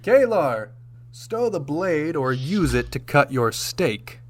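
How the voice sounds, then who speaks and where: A man's voice: on the high side, very animated, takes it slowly, slight background noise. A man playing a confident, dashing starship captain, commanding and wry, in a sci-fi radio drama. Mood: stern, wry.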